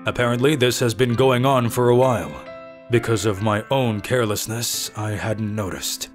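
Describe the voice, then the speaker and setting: A grave, courteous middle-aged father acted by a man in a manga drama. He speaks formally and with measure at a medium male pitch, over background music. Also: steady tone, normal speed, natural voice. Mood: grave, grateful. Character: serious, polite middle-aged father and company president. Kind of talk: dubbed manga story acting. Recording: music under the voice.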